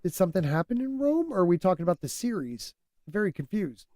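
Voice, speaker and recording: A man hosting a casual podcast, stuffed up and losing his voice, talking in an animated, opinionated way and laughing often. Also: high voice, fast, no room sound, clean studio sound. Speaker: podcast host.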